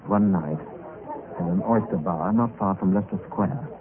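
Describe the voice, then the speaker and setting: A man with a British accent playing a genial, curious, playfully reckless gentleman adventurer in an urbane, theatrical old-time radio style. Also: deep voice, moderate pace, natural voice. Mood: measured storytelling. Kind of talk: old-time radio acting, part narration. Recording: scratchy, tinny old radio recording.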